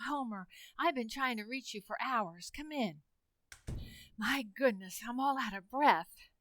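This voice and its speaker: Fretful teen sweetheart, a woman acting, highish bright voice, dramatic exclamations, old-time radio comedy. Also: lively intonation, a little slow, a little hiss or hum. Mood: flustered. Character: fretful, apologetic teenage girl.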